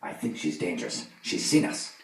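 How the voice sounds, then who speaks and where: An actor playing a sinister, menacing criminal in a theatrical radio comedy thriller. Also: male voice, sits low, wildly swooping pitch, moderate pace, creaky, exaggerated voice. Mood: threatening. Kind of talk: theatrical radio play acting. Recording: distant, echoey stage recording, faint noise.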